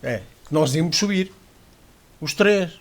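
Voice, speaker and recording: A man's voice: fairly high pitch, animated, a little slow. Rambling Portuguese man on radio panel. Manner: rambling, self-correcting, proud. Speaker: panel commentator. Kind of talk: radio sports panel chat.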